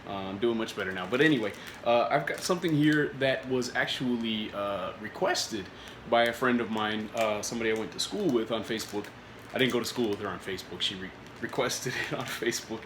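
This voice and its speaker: A man talks through a snack review in a loose, rambling way, full of "uh"s and restarted sentences, still getting over a cold. His voice sits at an ordinary middle pitch. The room gives it a noticeable echo with faint background noise. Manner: rambling, many filler words and restarts. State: recovering from a cold. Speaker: food reviewer. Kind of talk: casual product review vlog.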